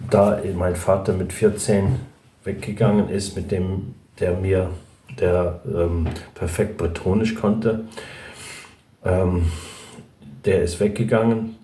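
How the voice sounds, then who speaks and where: A man's voice: fairly low pitch, dark tone. A middle-aged man from Brittany, chatting casually in a vlog, hesitant and rambling with many fillers and restarts. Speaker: language vlogger. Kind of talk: casual chat about learning a language. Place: at home with a dog nearby. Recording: echoey room.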